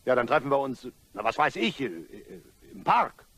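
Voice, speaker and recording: An actor playing an apologetic but insistent gentleman blackmailer in a radio play, formal and hesitant. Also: mid-range voice, very animated, normal speed, creaky, no room sound, full character voice. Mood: fumbling. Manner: polite, formal, hesitant, fumbling for words.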